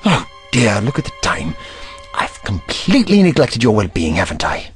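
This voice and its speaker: Male actor as eccentric time-traveller, low pitch, very lively intonation, wordy, musing, kindly but testy, audio drama. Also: normal speed, soft background music. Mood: kindly, fussy. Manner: musing, wordy, gently pedantic.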